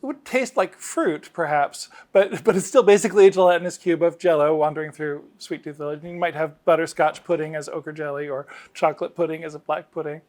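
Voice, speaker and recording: A middle-aged man with a somewhat high voice talks as a game designer being interviewed. He explains things in a casual, enthusiastic way. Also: steady tone, a little fast, hardly any pauses, a little mellow. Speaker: game designer interviewed about his work.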